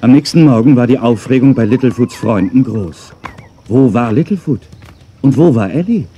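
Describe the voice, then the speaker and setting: A man narrating a scripted children's audio drama as a calm storyteller. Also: medium pitch, moderate pace, a little hiss or hum, lightly acted.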